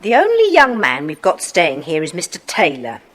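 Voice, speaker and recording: An actress playing an unfriendly hotel receptionist, brusque and offhand, in a children's audio drama. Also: female voice, fairly low pitch, wildly swooping pitch, moderate pace, poor audio.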